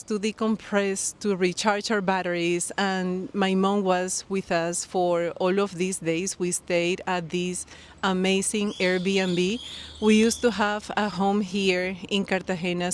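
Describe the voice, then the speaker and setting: A knitting podcast host from Colombia with a Colombian accent, chatting casually to the camera outdoors by a pool, with friendly rambling talk full of fillers and restarts. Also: female voice, mid-range voice. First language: Spanish. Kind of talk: casual vlog chat to camera. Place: outdoors by a pool near the beach. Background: birds and people nearby.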